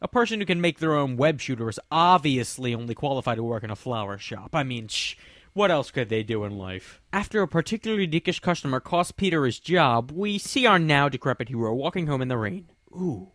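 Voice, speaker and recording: A man's voice: mid-range voice, animated, fairly quick, a bit bright, no echo. A young man reading a sarcastic, mocking review of a comic, with snarky asides.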